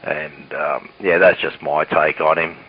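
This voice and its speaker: A man's voice: a bass voice, fairly even intonation, unhurried, breathy edge. A man chatting casually over CB radio, blunt and sweary. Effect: CB radio transmission. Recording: muffled hissy CB radio transmission.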